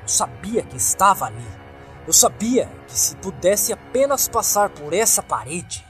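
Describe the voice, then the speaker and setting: A man's voice: high-pitched, sing-song, measured pace, a bit bright, faint music behind. A man with a Brazilian accent reading a scripted first-person horror story as its narrator.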